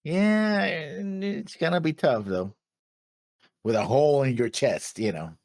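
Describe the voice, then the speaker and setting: A man's voice: on the high side, a little slow, darkish tone, no room sound, clean studio sound. A man co-hosting a reaction show in casual chat.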